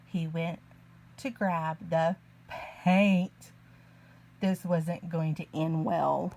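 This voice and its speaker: Slow-paced woman reading a children's story. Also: sits low, creaky. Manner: expressive, deliberate, child-directed delivery. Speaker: children's story reader, early learning teacher. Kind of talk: read-aloud picture book narration.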